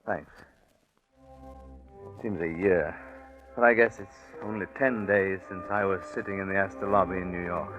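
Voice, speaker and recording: Actor as British gambler, tinny old radio. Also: male voice, deep voice, fairly even intonation, moderate pace, long pauses, faint music behind, natural voice. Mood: resigned, bitter. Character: desperate English racetrack gambler, smooth-talking, resigned confessor. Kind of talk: 1940s radio acting, first-person crime narration. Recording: tinny, band-limited 1940s radio recording.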